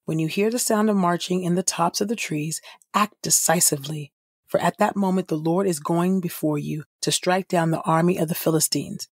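A woman reading Bible passages aloud from a script in a measured manner. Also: on the low side, slightly rough, no room sound, clean studio sound. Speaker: podcast host, scripture reader.

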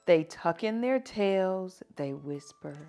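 Woman, children's read-aloud, very varied intonation, unhurried, faint music behind. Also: fairly low pitch. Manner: animated, drawn-out emphasis, asking listeners questions. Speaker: teacher reading picture books aloud.

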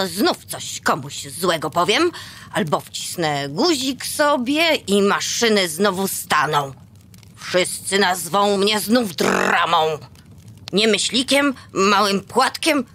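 A woman's voice: fairly low pitch, a bit bright, heavily acted. A woman voicing a restless, daydreaming young male elf in a children's audio drama. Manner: wistful, self-justifying.

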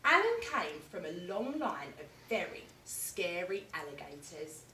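A young woman teacher reading a picture book aloud with lively, expressive storytelling, in a classroom reading corner recorded from a distance. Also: lowish voice, measured pace, slight background noise. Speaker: primary school teacher. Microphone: camera at a distance. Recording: echoey room, mic far off.

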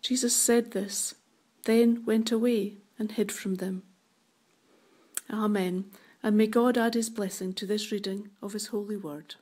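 A woman giving a formal Bible reading in a measured, deliberate way. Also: mid-range voice, fairly even intonation, mellow. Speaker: scripture reader in a church service.

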